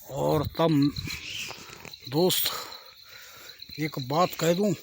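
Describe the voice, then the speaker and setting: A man's voice: a little high, steady tone, extremely slow, vocal fry, noisy background. A man from Garhwal speaking with a tinge, talking earnestly to his viewers as friends while outdoors in the hills. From Garhwal, Uttarakhand. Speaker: vlogger. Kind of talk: singing then casual vlog talk.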